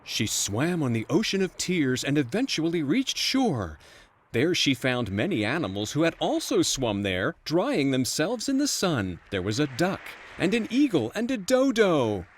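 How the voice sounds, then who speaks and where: A storyteller narrating a bedtime fairy tale in an expressive, playful storybook manner. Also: medium pitch, measured pace, slightly rough.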